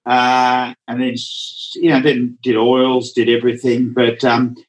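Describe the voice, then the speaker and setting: A man's voice: medium pitch, flat delivery, unhurried, low-quality recording. A man, a Dutch speaker, chatting casually as a guest painter in an interview, rambling and hesitant. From Australia. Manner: rambling, hesitant, often starts with "look".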